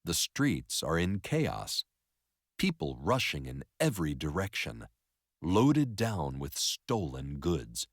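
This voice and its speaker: A man narrating a story in an expressive educational read-aloud style. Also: very deep voice, slow, no echo, clean studio sound.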